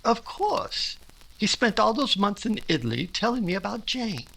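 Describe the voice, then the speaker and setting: An eager, good-natured young gentleman from a vintage radio romance , acted by a man. His delivery is effusive and highly animated. The voice is bright and forward, full of emphasis. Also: normal speed, gravelly, full character voice, low-quality recording. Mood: excited, happy. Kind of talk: recreated 1940s radio drama acting.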